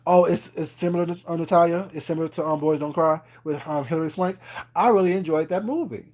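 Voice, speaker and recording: A man's voice: on the high side, fast talker, a little mellow. A man, chatting casually on a live stream, rambling with lots of fillers and laughing often. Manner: rambling, many fillers and "you know what I'm saying"; laughs often, teasing viewers. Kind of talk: casual chat.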